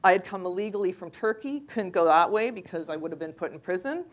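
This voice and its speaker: A woman, a freelance journalist giving a measured, anecdotal personal account during a panel discussion. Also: on the low side, expressive. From Canada.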